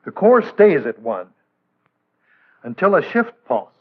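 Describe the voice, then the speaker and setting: An American man narrating a 1960s instructional film in a measured, didactic way with precise enunciation. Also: sits high, big pitch swings. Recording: band-limited, tinny vintage film soundtrack.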